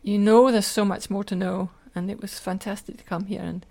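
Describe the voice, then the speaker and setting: A woman's voice: medium pitch, steady tone, fairly quick, gravelly, warm tone. An older woman with a Scottish accent, telling personal stories in an earnest, detailed way as an interview guest. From Scotland. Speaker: podcast guest, teacher and lecturer.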